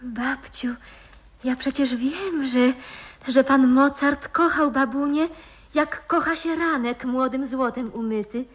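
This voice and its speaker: A woman's voice: high-pitched, slow. An actress in a 1960s radio play playing an earnest, impulsive young granddaughter, with an ardent and girlish theatrical delivery. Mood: tender, ardent.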